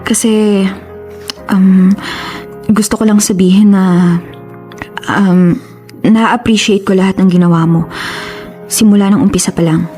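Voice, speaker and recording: A woman's voice: mid-range voice, fairly even intonation, a little slow, pauses often, dark tone, faint music behind, lightly acted. An actress playing an earnest, conflicted young woman in love in a radio drama, with a Filipino accent and a hesitant manner. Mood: apologetic, earnest. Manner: hesitant, explanatory.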